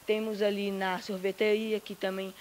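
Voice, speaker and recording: A woman's voice: medium pitch, flat delivery, moderate pace, a little mellow. A woman presenting a school project, listing examples one by one. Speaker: student presenter.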